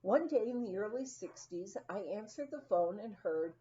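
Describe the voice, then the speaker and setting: A woman reading a humorous memoir aloud as scripted narration, in an expressive, storytelling manner. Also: mid-range voice, muffled recording. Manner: expressive, unhurried storytelling with acted quotes. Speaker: audiobook reader.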